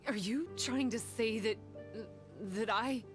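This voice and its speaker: A woman playing a solitary, wistful young shrine maiden of reptilian blood, speaking solemnly and haltingly in a video game cutscene. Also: on the high side, over loud music. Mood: shaken, defensive.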